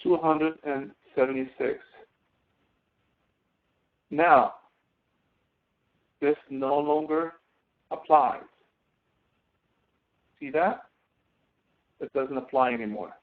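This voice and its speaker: A man's voice: on the high side, takes it slowly, long pauses. A man instructing in a screen-recorded tutorial, explaining step by step and thinking aloud as he works. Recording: tinny phone-like narrow-band audio.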